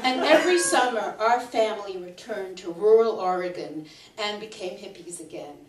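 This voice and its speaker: An American woman in her sixties reading a comic monologue on stage, theatrical and emphatic, with deliberate pauses. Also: medium pitch, a crowd in the background. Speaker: humorous storyteller. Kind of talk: live comic monologue read to an audience. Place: small stage venue with curtains. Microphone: microphone on a stand. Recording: echoey stage recording, distant, audience noise. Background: audience laughter, loud noise.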